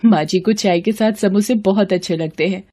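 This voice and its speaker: A woman's voice: mid-range voice, normal speed, dark tone, close to an everyday voice. A woman voicing a devoted, affectionate young daughter-in-law in a moral story, eager and doting. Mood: joyful, doting.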